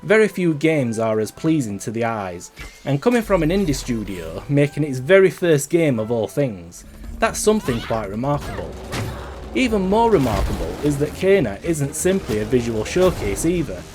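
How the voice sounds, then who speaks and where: A man reading a scripted video game review in a measured, articulate way, as a reviewer would. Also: medium pitch, big pitch swings, darkish tone. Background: background music.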